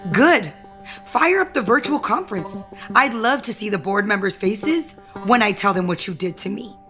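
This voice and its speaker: Actress as scheming ambitious woman, mid-pitched, taunting, self-assured, soap opera drama, music bed underneath. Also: lively intonation, moderate pace, close to an everyday voice. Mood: threatening. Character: scheming, brazen ambitious young woman, office power player. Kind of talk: audio soap opera acting.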